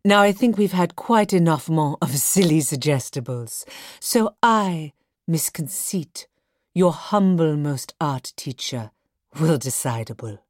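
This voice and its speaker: A woman's voice: deep voice, lively intonation, unhurried, no echo, clean studio sound. A woman acting a vain, pompous art teacher in a children's audiobook, theatrical and self-important, with grandly mangled words. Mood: smug, grand.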